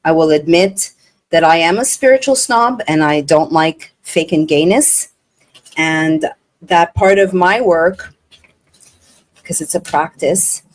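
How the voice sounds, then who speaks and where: A woman's voice: deep voice, takes it slowly. A woman from Montreal, not a native English speaker, hosting an interview in an earnest, effusive way. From Montreal, Canada. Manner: effusive, earnest, retells dialogue. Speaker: interview host. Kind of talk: casual interview chat.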